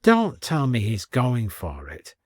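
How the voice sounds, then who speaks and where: A man's voice: mid-range voice, huge pitch swings, slow, no room sound, clean studio sound, full character voice. A man voicing a theatrical saleswoman with a coaxing, would-be seductive manner in an audiobook story. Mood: exasperated.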